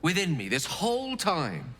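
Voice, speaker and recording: Eloquent, bright-voiced wizard in reverberant hall. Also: male voice, a little high, wildly swooping pitch, unhurried, a little hiss or hum, exaggerated voice. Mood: shocked realisation. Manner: theatrical, articulate, wry. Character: eloquent, self-mocking male wizard, proud yet rueful. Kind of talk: dramatic video game dialogue.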